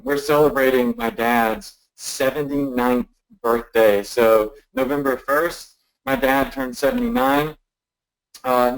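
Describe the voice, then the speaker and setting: A man in his thirties with a gravelly edge runs a live marketing webinar from a stand-up desk in his office. He talks in an upbeat, chatty way, full of ums and quick restarts, and keeps checking in with his viewers. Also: medium pitch, rough recording. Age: 30s. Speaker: online marketing trainer hosting a webinar. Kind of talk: casual live webinar teaching. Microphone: desk mic on a live webinar.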